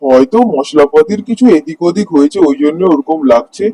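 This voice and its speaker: A man plays a crafty, greedy street food seller from a cartoon morality tale. His voice sits fairly high for a man, with a stubborn, defensive hawker's patter. The recording is close and dry. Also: expressive, fairly quick, dark tone, no echo. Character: greedy, dishonest male street snack vendor.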